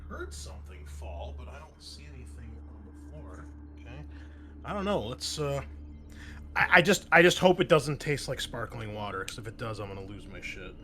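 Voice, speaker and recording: A man's voice: medium pitch, very animated, normal speed, sharp tone. A man acting as game master, narrating and chatting in an informal, sweary way. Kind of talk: casual tabletop game narration and chat.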